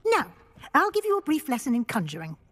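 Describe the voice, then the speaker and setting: An encouraging witch professor in a fantasy game, with a highish, very melodic voice. She is precise and instructive, and her words carry a long room reverb. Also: huge pitch swings, brisk, a bit bright, full character voice. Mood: instructive, approving. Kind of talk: video game voice acting. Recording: reverberant hall.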